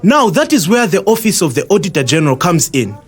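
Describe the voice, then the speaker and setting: Animated, high-voiced Zambian man, earnest. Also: fast talker, faint music behind. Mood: emphatic. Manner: emphatic, explanatory. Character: earnest, indignant Zambian man explaining civic matters to friends. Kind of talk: scripted educational audio drama.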